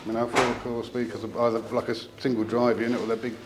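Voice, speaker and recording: A man giving an informal technical product explanation as a company representative, in a hesitant, halting way with trailing asides. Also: mid-range voice, little pitch movement, background noise.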